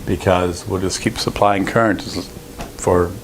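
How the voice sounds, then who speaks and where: A man presenting an informal technical lesson, explaining step by step in a halting, explanatory way. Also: low-pitched, fairly even intonation, slight background noise, rough recording. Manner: explanatory, halting, with frequent throat clearing. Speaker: presenter of an audio engineering lesson.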